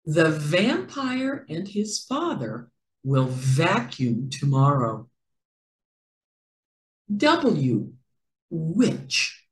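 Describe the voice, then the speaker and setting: A middle-aged woman reading a children's picture book aloud in an expressive, deliberate storytelling manner with careful enunciation. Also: on the low side, echoey room, poor audio. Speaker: read-aloud host for children.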